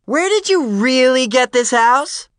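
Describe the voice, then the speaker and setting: A man voicing a pushy teenage girl at a party, screeching and calling out loudly in an audiobook. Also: extremely high pitch, animated, measured pace, smooth, dry recording, studio quality, pitched above the performer's own voice, exaggerated voice.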